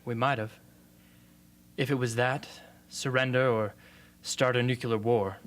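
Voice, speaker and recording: An earnest, defiant young rebel man, played by an amateur actor, speaking as a loyal friend in a radio play. His voice has a medium male pitch. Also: fairly even intonation, unhurried, a bit bright, close to an everyday voice. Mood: serious, measured. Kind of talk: amateur radio drama acting.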